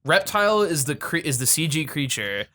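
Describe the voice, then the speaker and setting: Male co-host, voice a bit above average pitch, loose comedic film talk with exasperated rants. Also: very animated, normal speed, pushed and bright. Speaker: podcast co-host. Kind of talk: casual comedic movie chat.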